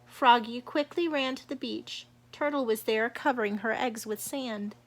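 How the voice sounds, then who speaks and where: A woman's voice: on the high side, normal speed. A woman narrating a children's storybook as a read-aloud. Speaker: storybook reader.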